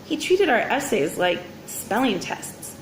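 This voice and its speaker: A woman reading a children's story aloud in an expressive, dramatic teacher's storytelling manner. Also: fairly high pitch, unhurried. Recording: roomy echo, faint background noise.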